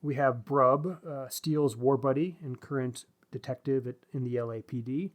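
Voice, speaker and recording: A man hosting a casual book discussion podcast, talking in a halting way as he searches for words. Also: mid-range voice. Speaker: podcast host.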